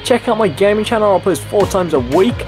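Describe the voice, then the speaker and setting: A young man hosting a casual software tutorial in an informal, instructional way, with a slightly husky voice. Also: high-pitched, expressive, brisk, music in the background. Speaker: tutorial video host.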